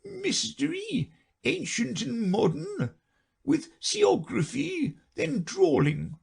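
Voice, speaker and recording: A man voicing the Mock Turtle, a sobbing, self-pitying storybook creature who wails his words slowly. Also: huge pitch swings, vocal fry, full character voice. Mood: mournful. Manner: slow tearful wail, high and quavering.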